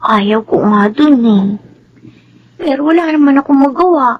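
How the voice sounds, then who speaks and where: A woman's voice: high-pitched, lively intonation, unhurried, highs cut off. A woman playing a meek, pleading young teenage girl in a Filipino radio drama, with a plaintive delivery and Filipino accent. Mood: downcast, confiding. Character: timid, pleading girl of thirteen, a pressured daughter.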